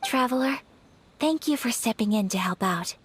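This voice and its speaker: A woman's voice: a little high, animated, normal speed, slight background noise, lightly acted. A woman voicing a gracious, dutiful young noble lady in a video game, speaking in a formal, polite and composed way. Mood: polite, gentle. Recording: faint game music behind.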